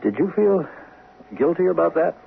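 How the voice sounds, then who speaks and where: An actor playing a frank, calmly authoritative psychiatrist in a 1970s radio drama, questioning with measured pauses. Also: male voice, on the high side, gravelly, slight background noise, full character voice. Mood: probing. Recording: thin narrow-band vintage radio broadcast.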